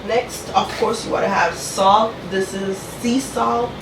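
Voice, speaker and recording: A woman's voice: mid-range voice, steady tone, takes it slowly, very noisy. Stuffy-nosed woman in an echoey kitchen. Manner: chatty, keeps saying "you guys" and "okay". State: congested from a cold, talking through her nose. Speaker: cooking channel host. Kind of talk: casual vlog. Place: home kitchen. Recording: echoey room, mic at a distance.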